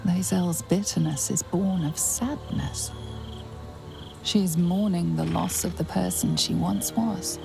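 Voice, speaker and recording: Very creaky, somber fantasy narrator. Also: female voice, fairly low pitch, steady tone, takes it slowly, over music, heavily acted. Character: grave, somber female narrator of a fantasy game. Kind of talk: scripted dramatic narration.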